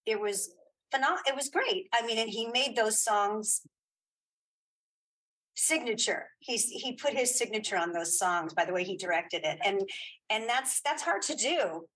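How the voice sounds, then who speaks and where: A middle-aged woman chatting casually as an interview guest, giving opinions in halting, repeated phrases. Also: medium pitch, animated, a bit bright, breathy edge, low-quality recording. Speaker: guest, playwright and audio drama creator.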